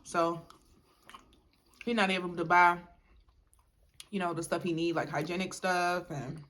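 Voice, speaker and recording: A woman's voice: on the low side, little pitch movement, measured pace, fairly bright tone. A young woman talks casually to her viewers. She rambles a little, fills gaps with "like" and "you know", and keeps calling the audience y'all. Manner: chatty, addresses viewers as y'all, many fillers. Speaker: mukbang vlogger. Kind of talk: casual storytime chat.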